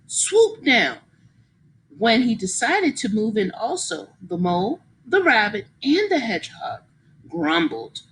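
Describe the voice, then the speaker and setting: A woman reading a children's story aloud in an expressive, encouraging teacher's manner, pausing to explain and ask her young listeners questions. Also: a little high, slight background noise, poor audio.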